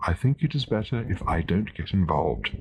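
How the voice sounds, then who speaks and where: Man acting a gentle, hesitant British muser, lilting. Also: low voice, very animated, lo-fi sound. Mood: hesitant wondering. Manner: slow, hesitant, wondering, sometimes near whisper. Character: gentle, vague, doubting solitary man musing to his cat. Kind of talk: storybook character voice. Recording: noisy old tape recording.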